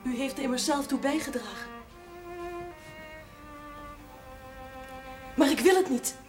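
A woman's voice: sits high, fast, over music, close to an everyday voice. An actress playing a headstrong, defiant young woman in love in a television drama, arguing emphatically. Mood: restrained, troubled. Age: young adult. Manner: emphatic, argumentative. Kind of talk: Dutch television drama acting.

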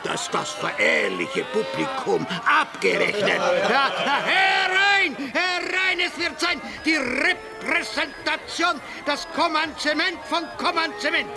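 High-pitched, bombastic fairground barker. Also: male voice, animated, slow, creaky, hardly any pauses, exaggerated voice. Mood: bombastic showing off. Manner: bombastic showman patter, broken pompous German, chuckling. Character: exuberant fairground barker showing off performing animals. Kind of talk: radio drama acting. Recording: loud music behind the voice. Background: music.

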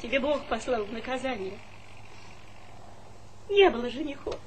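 Casting: woman to play brash rural mother, high piercing voice, folksy wheedling, stage comedy, hissy old recording. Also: normal speed, close to an everyday voice, poor audio. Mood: lamenting. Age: middle-aged. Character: loud, plaintive village mother, brash and pleading. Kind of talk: theatrical comedy stage acting.

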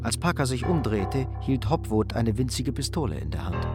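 A man's voice: mid-range voice, big pitch swings, normal speed, music in the background. A man narrating a crime radio play in a scripted, literary storytelling style.

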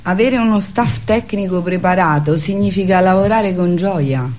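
A woman's voice: fairly low pitch, moderate pace, darkish tone, a little hiss or hum. A woman being interviewed as a theatre artist, talking conversationally and reflectively, searching for her words.

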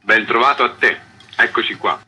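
A man's voice: medium pitch, normal speed, bright, forceful tone, slight background noise. A man, a theatre director answering questions in a conversational radio interview. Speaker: theatre festival artistic director, interview guest.

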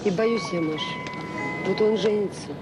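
Anxious confiding mother played by an actress, loud music behind. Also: medium pitch, measured pace, lightly acted. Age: middle-aged. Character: anxious middle-aged mother devoted to her only son. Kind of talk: naturalistic Soviet radio drama acting. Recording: old radio play under loud music. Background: loud mallet-percussion music.

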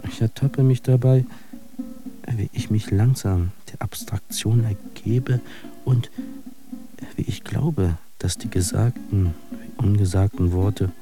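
An actor playing an embittered, disillusioned fighter in a radio drama monologue, declaiming and cursing in coarse words. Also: male voice, fairly low pitch, fairly even intonation, slow pace, over music. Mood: brooding, weary.

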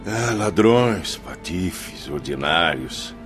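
An actor playing a scornful, world-weary former gambler among the dead in a radio drama. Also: male voice, deep voice, lively intonation, drawn-out words, music in the background, exaggerated voice.

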